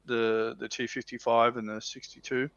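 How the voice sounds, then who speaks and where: A man chatting casually as a livestream panelist, explaining things and often clearing his throat. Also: medium pitch, little pitch movement, moderate pace, fairly bright tone. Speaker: livestream panelist on tabletop wargaming. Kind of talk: casual discussion, explaining slides. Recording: dull lo-fi roomy livestream audio.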